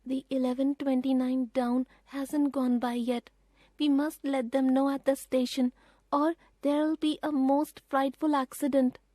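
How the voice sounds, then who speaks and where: A woman's voice: high-pitched, flat delivery, a little slow, warm tone, dry recording, pitched above the performer's own voice. A woman voicing a brave, businesslike young boy who speaks promptly and with urgency. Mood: urgent.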